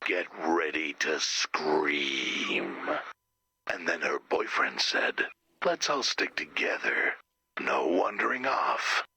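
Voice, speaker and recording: A hulking video game slasher with an extremely low, cutting voice. He drags his words out slowly, brooding and menacing, yet wounded underneath. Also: very animated, bright, forceful tone, no room sound, full character voice. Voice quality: gravelly, rasping growl. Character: monstrous male slasher killer, brooding, wounded, easily hurt. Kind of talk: video game character acting.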